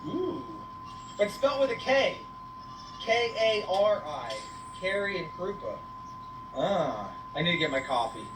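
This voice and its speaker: A woman's voice: lowish voice, big pitch swings, a little slow. A woman hosting a casual livestream on a goat farm, playfully teasing and chatting to viewers and animals. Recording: echoey, noisy farm livestream, distant mic.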